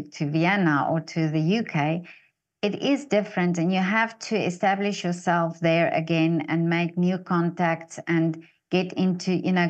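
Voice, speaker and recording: Brief: woman interviewer, lowish voice, halting and searching for words. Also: highs cut off. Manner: halting, with many fillers and restarts. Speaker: interview host. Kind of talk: casual interview chat.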